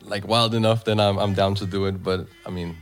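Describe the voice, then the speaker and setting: A man in his thirties, raised in Denmark in a Filipino family, talking casually about music on a radio show, relaxed and laughing often. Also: sits low, a little fast. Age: 30s. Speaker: DJ and event promoter.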